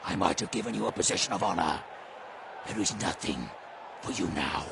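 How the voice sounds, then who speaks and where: A man playing a brutish, arrogant, hot-tempered warlord in an audio drama, gravelly and snarling, with theatrical bellowing and drawn-out words. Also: deep voice, expressive, a bit bright, slight background noise, full character voice. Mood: scornful menace.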